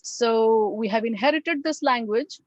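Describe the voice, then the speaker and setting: A woman with a Bengali accent, whose first language is Bengali, gives an academic talk over a video call, reflective and full of pauses and fillers. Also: on the high side, a little mellow, no room sound, dull audio. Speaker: guest lecturer.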